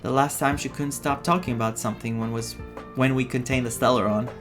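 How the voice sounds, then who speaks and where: A man streaming a story game, reading dialogue aloud and adding his own expressive reactions. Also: medium pitch, fairly quick. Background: game music.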